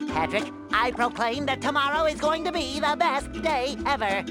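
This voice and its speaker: A man's voice: very high voice, lively intonation, normal speed. A man voicing a childlike, eager and naive sea sponge in a cartoon video game, earnest and gleeful. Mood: excited. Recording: music bed behind voice.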